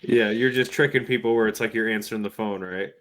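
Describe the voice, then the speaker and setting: A man's voice: fairly low pitch, steady tone, fast talker, fairly bright tone. A man talking casually as a podcast panelist, brainstorming in an informal, jokey way with hesitant phrasing.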